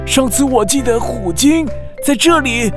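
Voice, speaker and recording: A kindly sea snail uncle from a children's audio drama. The voice is high and takes its time, stumbling a little while it reassures and praises. Music plays clearly behind it. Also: female voice, lively intonation, a little slow. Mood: admiring, encouraging. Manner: stammering, reassuring, full of praise. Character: kindly encouraging old uncle conch, male sea snail.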